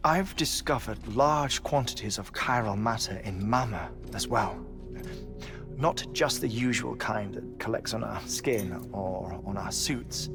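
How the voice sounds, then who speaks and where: An actor playing a grave, thoughtful researcher in a video game, explaining in a measured way. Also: male voice, fairly low pitch, gravelly, music in the background. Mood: grave, confiding. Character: grave, thoughtful male researcher confiding his theories. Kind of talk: video game cutscene acting.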